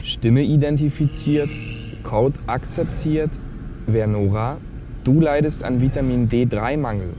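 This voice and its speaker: A man's voice: mid-range voice, a little slow, noisy background, lightly acted. A man playing an emotionless, nannying house computer in a radio drama, speaking formally and mechanically. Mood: officious. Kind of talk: children's radio drama acting.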